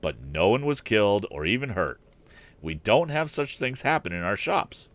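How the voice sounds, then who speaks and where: A man's voice: lowish voice, sing-song, normal speed, fairly bright tone, no echo. A man voicing an earnest, confident teenage boy inventor in a storybook reading, upbeat and a touch indignant. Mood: puzzled, animated.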